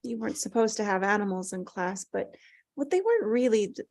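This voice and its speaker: A woman reading the part of a grieving, anxious mother in a drama table read, halting, apologetic and gently insistent. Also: medium pitch, very animated. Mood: wistful. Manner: hesitant, halting.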